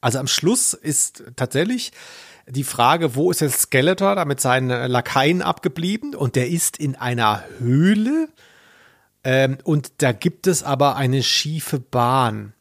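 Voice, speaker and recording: Male podcast co-host, dry close sound, mid pitch, casual, amused, searching for words. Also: lively intonation, unhurried, dry recording. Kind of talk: casual pop-culture podcast chat.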